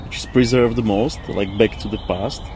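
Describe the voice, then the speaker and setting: A man talks reflectively in English. He feels for his words and repeats them as he goes. Birds and traffic are clearly audible around him. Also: fairly low pitch, steady tone. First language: not English. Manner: halting, searching for words with repetitions. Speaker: interview guest, former architect. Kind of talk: casual reflective discussion. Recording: noisy outdoor ambience with birds, traffic.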